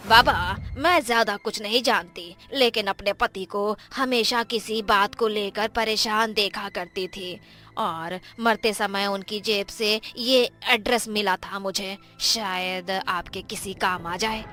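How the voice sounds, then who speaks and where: A woman's voice: medium pitch, steady tone, slow, bright, forceful tone, soft background music. A woman voicing a weary widowed aunt in a cartoon, subdued and respectful.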